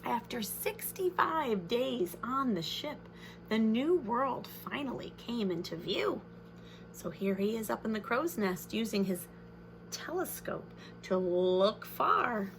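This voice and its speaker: A woman reads a picture book aloud to young children in an expressive way, adding simple, friendly-worded explanations as a teacher would. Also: fairly high pitch, takes it slowly, slight background noise, low-quality recording.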